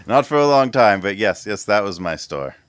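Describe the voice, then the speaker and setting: A man's voice: lowish voice, expressive, moderate pace, clear, resonant tone, dry recording, lightly acted. A man voicing a polite, formal male court minister in a tabletop game, speaking courteously with small hesitations. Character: polite stiff male minister, middle-aged.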